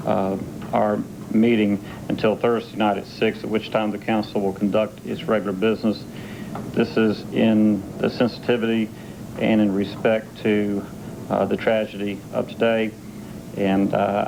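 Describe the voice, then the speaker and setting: A man speaking as a city council official, making a formal and deliberate public announcement. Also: fairly low pitch, gravelly. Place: press briefing at emergency operations center. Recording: noisy archived TV broadcast tape.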